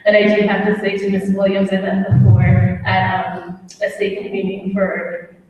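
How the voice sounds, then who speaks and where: A woman who teaches young children, speaking at a public meeting with a croaky voice in a heartfelt, speechlike way that builds on repetition. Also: mid-range voice, completely flat delivery, a little slow, a little mellow. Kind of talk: public comment at a town hall. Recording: echoey hall, mic far off.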